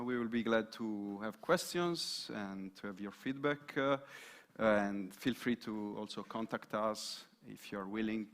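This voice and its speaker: A man presenting research results at a panel, hesitant, with many fillers and restarts. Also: lowish voice. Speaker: student presenter.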